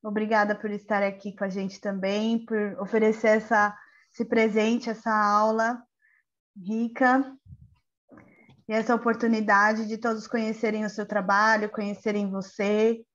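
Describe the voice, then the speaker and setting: A woman in her thirties hosting and introducing an online class, speaking politely and pausing to find her words, over a video call from a small room at home. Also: medium pitch, little pitch movement, dull audio. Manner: warm, polite and searching for words. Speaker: event host. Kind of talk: welcoming introduction. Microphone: webcam on video call.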